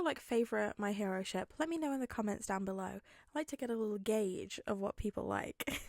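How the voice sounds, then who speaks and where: A woman's voice: mid-range voice, creaky, no echo, clean studio sound. A woman who reads fan fiction aloud and hosts her own channel. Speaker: podfic reader and channel host. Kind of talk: fan fiction narration and chatty outro.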